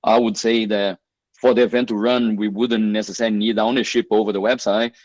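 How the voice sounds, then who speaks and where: A man's voice: on the low side, flat delivery, brisk, a little mellow, dry recording. A man on a community video call summarizing points hesitantly, with many fillers. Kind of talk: casual video call chat.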